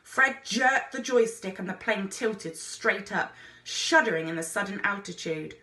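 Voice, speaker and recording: Woman, expressive storybook narration, bright forceful tone, mid pitch, roomy echo, teacher reading aloud. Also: unhurried. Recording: echoey room.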